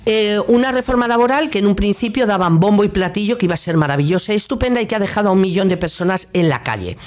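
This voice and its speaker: Female radio host, Castilian Spanish accent, mid-pitched voice; indignant, sarcastic, colloquial political commentary. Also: expressive, quick pace, a little mellow. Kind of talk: political opinion monologue.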